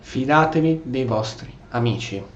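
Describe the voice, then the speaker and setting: Animated Italian man in an echoey room. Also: mid-range voice, moderate pace, muffled recording. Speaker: gaming streamer. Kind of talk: role-play let's play commentary. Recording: echoey room, game music faintly behind.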